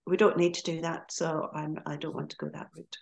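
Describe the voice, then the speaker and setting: Chatty Scottish woman, low-pitched. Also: flat delivery, a little fast, vocal fry, darkish tone. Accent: Scottish, Aberdeen. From Scotland. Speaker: podcast guest, hypnotherapist. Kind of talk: casual interview chat.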